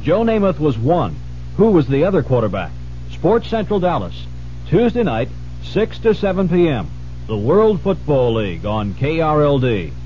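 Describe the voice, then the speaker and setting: A muffled, faintly noisy old radio spot. A male sports announcer delivers a promo in a highish voice with lively pitch. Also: normal speed. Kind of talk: radio advertisement.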